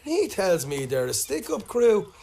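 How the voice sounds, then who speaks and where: A man's voice: high voice, huge pitch swings, moderate pace, gravelly, warm tone, heavily acted. A man acting a roguish young outlaw with an Irish accent in a Western game, chatty and cheeky with a lilt. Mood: boastful. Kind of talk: video game cutscene acting.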